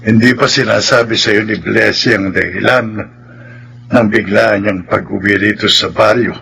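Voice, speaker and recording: An actor playing a stern, concerned village father with a rough voice in a Filipino radio drama. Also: fairly low pitch, moderate pace, pushed and bright, slight background noise.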